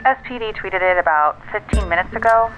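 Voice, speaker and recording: A woman's voice: medium pitch, a little fast, faint music behind. A woman playing a frank, dry-witted friend delivering bad news over a phone line in an audio drama, matter-of-fact and pointed. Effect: phone filter. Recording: dull, band-limited phone line.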